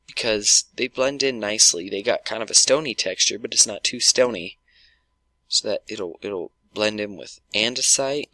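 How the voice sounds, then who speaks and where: A man with a sore throat and blocked nose talks over his game, stopping and restarting his sentences as he goes. His voice sits a little low yet sounds bright and pushed forward. Keyboard and mouse clicks patter quietly behind him. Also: gravelly, no room sound. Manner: halting, many ums and restarts. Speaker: gaming YouTuber. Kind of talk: casual gameplay commentary.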